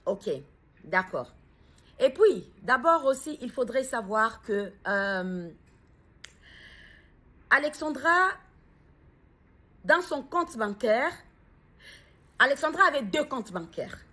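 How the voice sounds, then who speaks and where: A grieving mother speaking out in a personal video, hesitant and halting as she searches for words, then pressing earnest questions. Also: high-pitched, animated, sharp tone.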